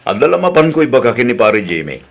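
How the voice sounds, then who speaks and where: A man's voice: mid-range voice, very animated, quick pace, gravelly. A man with an Ilocano accent acting a meddling, nagging village neighbour and husband in a radio drama. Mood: casual, reassuring.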